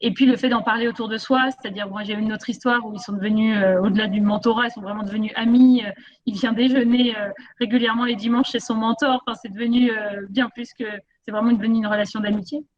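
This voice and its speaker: A woman's voice: fairly high pitch, fairly even intonation, fast, muffled recording. A woman giving a spontaneous testimonial as a mentor, speaking hesitantly with repeated words and emphasis. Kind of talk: spontaneous spoken testimonial at a conference.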